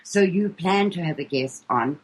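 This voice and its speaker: A low-pitched woman with an English-leaning South African accent talks as a guest on a radio show. Her voice is husky. She speaks earnestly, with many ums and repeated words as she finds her way. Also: animated, measured pace, rough recording. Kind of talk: casual radio interview chat.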